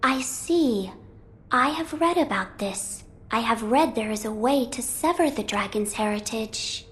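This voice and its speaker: An actress playing a serene, solemn young sacred child in a video game, with formal, gentle delivery. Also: female voice, a little high, expressive, unhurried. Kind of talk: dramatic video game acting.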